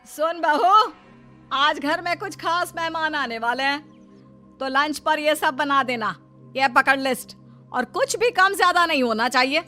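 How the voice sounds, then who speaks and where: A woman's voice: high-pitched, a little slow, bright, forceful tone, soft background music. A woman voicing a nagging, boastful older mother-in-law in a cartoon story, scolding and taunting with dramatic exclamations. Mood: bossy.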